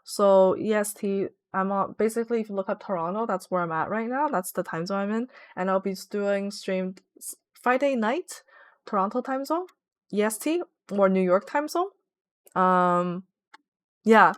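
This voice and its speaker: A woman's voice: medium pitch, normal speed, dry recording. A young woman streaming from home, chatting casually and rambling with lots of "like" fillers as she plays. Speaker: Twitch streamer. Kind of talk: casual live-stream chat while gaming.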